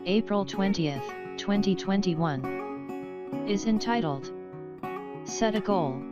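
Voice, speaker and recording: A woman's voice: mid-range voice, expressive, takes it slowly. A woman reading a scripted daily devotional and prayer. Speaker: devotional reader. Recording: dull lo-fi audio under music. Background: guitar music.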